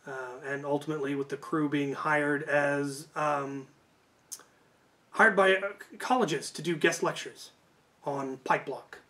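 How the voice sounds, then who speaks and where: Higher-pitched man telling casual stories. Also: fairly even intonation, unhurried, sharp tone. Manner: informal, hedging and self-correcting. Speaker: YouTube host. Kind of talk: casual rambling storytelling.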